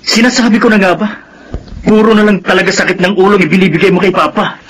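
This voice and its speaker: Man acting as scolding young older brother, high pitch, Filipino accent, irritated, radio drama, light noise. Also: steady tone, quick pace, lightly acted.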